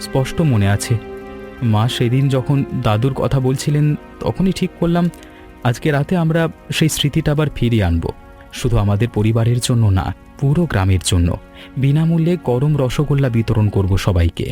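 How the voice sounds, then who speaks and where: An actor playing a devoted, enthusiastic grown son, talking in a heartfelt way in a village cartoon. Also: male voice, mid-range voice, animated, moderate pace, mellow, close to an everyday voice. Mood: nostalgic, resolved. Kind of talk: dramatized cartoon dialogue. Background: background music.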